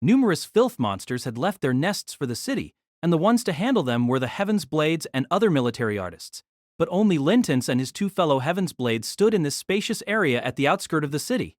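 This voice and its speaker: A man's voice: on the high side, big pitch swings, brisk, no echo, clean studio sound. A man narrating a fantasy novel as a dramatic, scripted audio drama.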